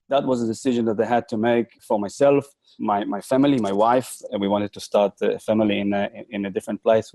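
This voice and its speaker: A middle-aged man speaks as a podcast guest, his voice mellow and dark. He tells his story haltingly, with fillers and restarts. The recording is dry and close. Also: medium pitch, creaky, no echo. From Israel. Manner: hesitant, frequent restarts and fillers. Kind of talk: casual interview storytelling.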